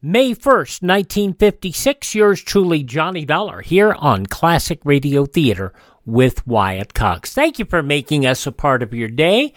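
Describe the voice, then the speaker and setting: A man's voice: fairly high pitch, big pitch swings, measured pace, no echo. A man hosting a radio show, delivering a scripted sign-off in a studio. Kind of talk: scripted radio host outro.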